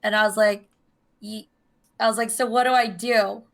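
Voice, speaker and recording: A young woman with a hoarse voice, co-hosting a podcast in casual chat, telling a long story and acting out the voices of the people in it. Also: medium pitch, fairly even intonation, normal speed. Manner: chatty storyteller, acts out quoted voices. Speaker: podcast co-host.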